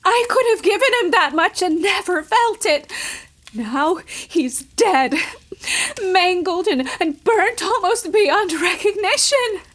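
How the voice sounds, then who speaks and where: High-voiced, distraught, halting radio-drama widow. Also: female voice, lively intonation, a bit bright, exaggerated voice. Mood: tearful, guilt-ridden. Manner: halting, stammering, melodramatic. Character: distraught wealthy widow, anxious and guilt-ridden. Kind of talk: 1950s radio drama acting.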